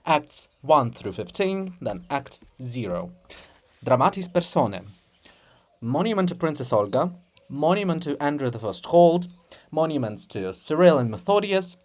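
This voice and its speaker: A person giving a dramatic reading of a play in a theatrical, deliberate manner, phrase by phrase. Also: mid-range voice. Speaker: reader of a play.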